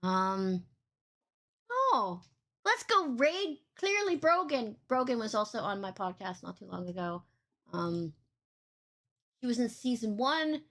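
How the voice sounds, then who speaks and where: A woman with a big, boomy voice hosts a casual streaming podcast. She rambles cheerfully from topic to topic and swears without a second thought. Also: very animated, takes it slowly, pauses often, a bit bright. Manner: bubbly, swears freely, rambling. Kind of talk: casual livestream podcast chat.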